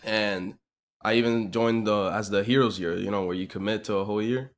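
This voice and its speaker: A man's voice: on the low side, fairly even intonation, a little fast. A man in his early twenties from Miami, giving casual answers as an interview guest. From Miami, USA. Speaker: interview guest, online business owner.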